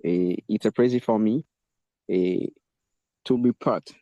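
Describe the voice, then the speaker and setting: Halting man, slow and echoey. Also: on the low side, warm tone. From Haiti. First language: Haitian Creole. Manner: halting, searching for words. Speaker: language learner taking the microphone. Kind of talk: impromptu talk in English practice. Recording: muffled, roomy online call audio.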